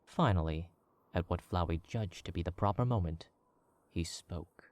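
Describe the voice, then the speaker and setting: A man narrating a story in a measured, even storytelling manner. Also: sits low, no echo. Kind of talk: scripted audiobook narration.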